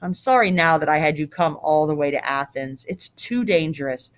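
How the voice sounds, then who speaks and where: A woman's voice: deep voice, lively intonation, moderate pace, voice pushed down. A woman voicing an anxious, doting old king and father, cautious and fretful, in a storybook. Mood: worried, regretful.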